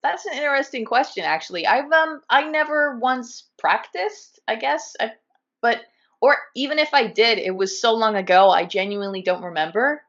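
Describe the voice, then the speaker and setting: A chatty woman with a Californian accent talks in an animated, casual way and fills her speech with "um" and "like." Her voice sits a bit high. The video call audio is somewhat muffled. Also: normal speed. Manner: chatty, animated, many fillers like "um" and "like". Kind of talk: casual conversation.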